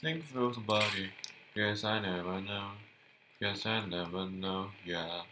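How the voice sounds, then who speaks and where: A man's voice: lowish voice, measured pace, noisy background. A man giving casual gameplay commentary, chatty and thinking aloud, often repeating words as he goes. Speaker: gameplay youtuber.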